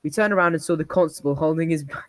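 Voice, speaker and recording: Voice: medium pitch, rapid-fire, no room sound. Storyteller stumbling through a comic tall tale. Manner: often breaks into laughter, stumbles over words. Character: old-timer reminiscing about an absurdly harsh childhood.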